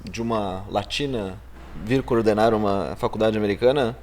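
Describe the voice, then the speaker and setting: A man's voice: fairly low pitch, normal speed, a crowd in the background. A Brazilian man hosting a casual podcast interview, who talks in a slangy, joking way. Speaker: podcast host.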